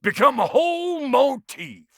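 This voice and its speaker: A crusty video game dwarf blacksmith with a heavily gravelled, sharp-edged voice. He is cantankerous and cocky, slinging folksy insults, and his pitch swings wildly from low grumble to high bark. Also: very animated, a little slow, no echo, clean studio sound, full character voice. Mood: irritable, boastful. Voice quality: gravelly, raspy. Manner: folksy rustic slang, colorful insults, swaggering. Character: gruff, foul-mouthed, cantankerous dwarf blacksmith with folksy swagger. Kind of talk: video game character acting.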